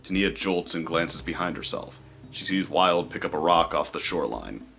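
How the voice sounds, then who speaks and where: Very deep, bright-toned male narrator. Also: expressive, normal speed, gravelly. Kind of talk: scripted narration of stage action.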